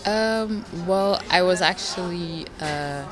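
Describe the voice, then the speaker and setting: A woman's voice: lowish voice, slow, crowd noise. A young woman from Belgium, a pageant contestant, answering interview questions in a hesitant way with many fillers. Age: young adult. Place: indoor lounge on a sofa. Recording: music playing behind the voice. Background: background music.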